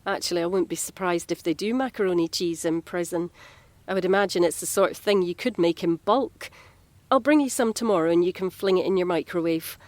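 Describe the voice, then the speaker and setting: An actress playing a friendly, chatty, gently teasing Scottish home carer in an audio drama. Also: female voice, on the low side, brisk, no echo, lightly acted.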